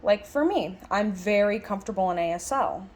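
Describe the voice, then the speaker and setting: A woman interprets a lecture aloud at a middling pitch that moves with lively swings. She sounds conversational and reflective, leaning on key words as she muses through the ideas. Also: moderate pace. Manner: musing, emphatic phrasing with rhetorical questions. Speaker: sign language interpreter voicing a signed lecture.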